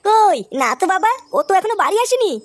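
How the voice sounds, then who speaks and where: A woman's voice: very high voice, expressive, fast, no echo. A woman voicing a suspicious young housewife in a comedy cartoon, with a nagging domestic manner. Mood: dutiful.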